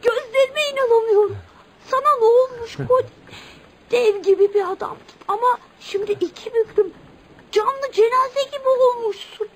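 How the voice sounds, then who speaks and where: An actress playing a loyal, tender-hearted young child in a radio play, earnest and emotional. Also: female voice, very high voice, normal speed. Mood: shocked, tearful. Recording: noisy radio drama recording.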